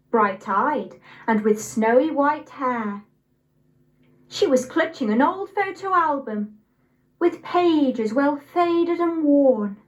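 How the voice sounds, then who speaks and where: A young woman of eighteen, reading scripted poetry in a measured, deliberate way. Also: sits high, low-quality recording. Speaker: poetry reader.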